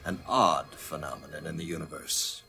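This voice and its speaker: Very deep, suave alien villain. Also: male voice, vocal fry, sharp tone, slight background noise, exaggerated voice. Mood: smug, menacing. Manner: courtly, deliberate, smug. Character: suave, menacing male alien crime boss. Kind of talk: radio drama villain dialogue. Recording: prominent music under the voice. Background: music.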